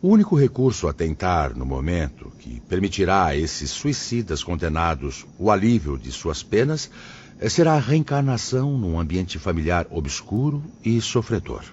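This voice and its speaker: A man's voice: low voice, very animated, moderate pace, a little mellow. A man playing a kindly, solemn spirit master in a Brazilian audio drama, speaking formally and paternally with a Brazilian accent. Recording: muffled, noticeable background hiss.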